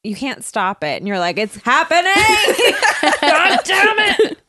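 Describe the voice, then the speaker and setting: Giggly animated woman co-host. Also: sits high, takes it slowly, very noisy. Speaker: podcast co-host. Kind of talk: casual comedic chat.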